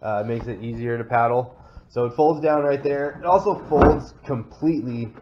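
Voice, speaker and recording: A man's voice: mid-range voice, moderate pace. A Texan man with a stuffy head cold, casually walking through his kayak setup with lots of fillers and restarts. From Texas. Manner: rambling, many "uh" fillers and restarts. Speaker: kayak vlogger. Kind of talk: casual show-and-tell walkthrough. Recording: slightly dull sound with faint noise.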